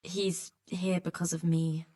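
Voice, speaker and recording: An actress playing a weary, blunt healer who tends refugees, with dry exasperation, in a dramatic video game. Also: female voice, lowish voice, little pitch movement, slow pace, mellow. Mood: indignant, guarded. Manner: exasperated, dry, plain-spoken.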